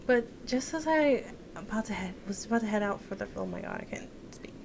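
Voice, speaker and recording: A woman game streamer reads a story aloud in a medium-pitched voice. She is expressive, slipping into casual asides and correcting her stumbles. There is clear background noise and game ambience behind her. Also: brisk. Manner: stumbles over words and corrects herself. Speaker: game streamer reading a visual novel aloud.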